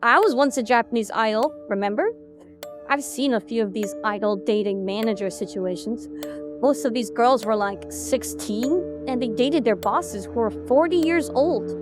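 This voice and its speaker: A woman voices a sardonic young woman idol in an animated audio drama. She is high-pitched, blunt and resentful, bossy with friends, with music running under her words. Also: normal speed, close to an everyday voice. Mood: bitter, guarded. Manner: blunt, sarcastic, swearing.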